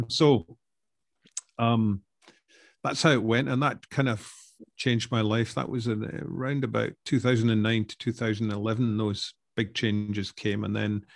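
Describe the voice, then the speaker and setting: An older man with a Scottish accent, an author answering interview questions over a video call, pausing often with ums and restarts. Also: fairly low pitch, vocal fry, dry recording, studio quality. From Scotland. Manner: hesitant, many ums and restarts. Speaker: author guest.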